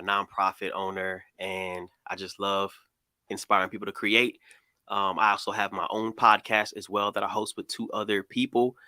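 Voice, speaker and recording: Low, bright-voiced male podcast guest. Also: no room sound. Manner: upbeat, affirming, laughs easily. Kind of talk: casual podcast guest chat.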